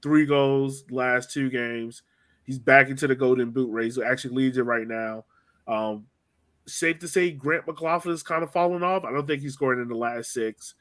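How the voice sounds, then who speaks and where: A man's voice: medium pitch, normal speed, fairly bright tone. A man hosting a casual sports discussion, thinking aloud in an informal way and often backtracking to rephrase. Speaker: podcast host steering the discussion.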